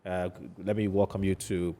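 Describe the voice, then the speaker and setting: Deep, mellow Ghanaian male TV host. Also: flat delivery, normal speed. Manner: hesitant phrasing, repeats questions back. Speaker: TV talk show host. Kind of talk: live studio interview. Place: TV studio.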